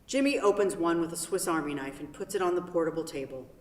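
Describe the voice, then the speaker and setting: A woman serves as narrator and host at a play reading. Her voice is a little low and is picked up from a distance in a very echoey hall. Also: fast talker. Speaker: stage-directions narrator and event host. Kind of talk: scripted narration and hosting. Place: theatre hall.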